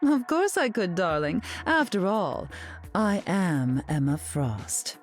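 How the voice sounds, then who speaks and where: A woman's voice: fairly low pitch, sing-song, takes it slowly, faint music behind. A woman playing a haughty, glamorous, sardonic society queen in a video game, imperious and arch in manner. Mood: imperious, cool. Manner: imperious, arch, drawling endearments. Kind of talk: video game character dialogue.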